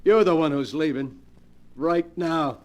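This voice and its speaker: An actor playing a ruthless, cocky gangster boss in a 1940s radio mystery, domineering and tough-talking in period slang. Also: male voice, fairly high pitch, measured pace, a little mellow, close to an everyday voice. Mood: cold menace. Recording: old 1940s radio recording.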